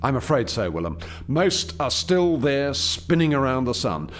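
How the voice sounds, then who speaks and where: Man playing an animated, articulate alien chief scientist. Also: medium pitch, a little slow, a little hiss or hum. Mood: explanatory. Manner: articulate, formal, explanatory. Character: male alien chief scientist, eager, proud, explaining his experiment. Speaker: lead scientist presenting a project. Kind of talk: radio play dialogue, expository.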